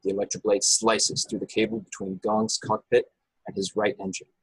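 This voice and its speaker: A man's voice: fairly low pitch, little pitch movement, normal speed, husky, no echo, low-quality recording. A man reading scripted action descriptions as narrator in an informal, unpolished way on an amateur online table read. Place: video call from a room.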